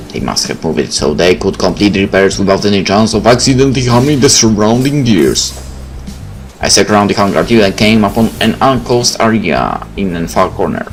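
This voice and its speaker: Animated man narrating a visual novel. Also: deep voice. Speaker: let's player. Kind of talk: reading visual novel narration and dialogue aloud, with casual asides.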